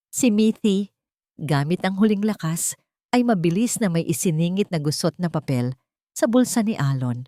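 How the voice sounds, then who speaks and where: A woman's voice: low-pitched, mellow, dry recording, studio quality. A woman narrating a scripted dramatic story as an audio drama narrator.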